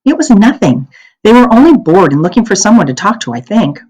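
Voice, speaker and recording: A woman's voice: medium pitch, big pitch swings, brisk, a little mellow, slightly rough, voice pushed up, low-quality recording. A woman reading a children's novel aloud, voicing an eager, indignant ten-year-old schoolgirl with an earnest, questioning way of talking. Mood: dismissive.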